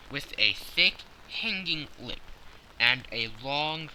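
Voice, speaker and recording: Male storyteller for audiobook narration. Unhurried, sharp forward tone, mid pitch, faint rain ambience. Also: a little hiss or hum, poor audio.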